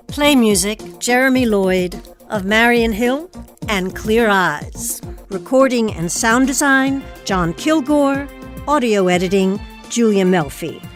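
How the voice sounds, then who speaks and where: Woman radio host over faint music. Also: medium pitch. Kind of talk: radio interview and scripted credits.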